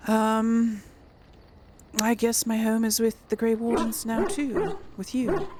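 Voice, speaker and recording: A woman's voice: a little high, slow pace, heavy background noise. A woman giving casual, chatty let's play commentary, thinking aloud as she hosts a role-play gaming series.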